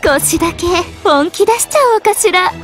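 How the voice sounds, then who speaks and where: Very high, coquettish young queen. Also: brisk, a bit bright, faint music behind. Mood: teasing, challenging. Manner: coquettish, teasing, self-assured. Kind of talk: Japanese mobile game battle voice lines.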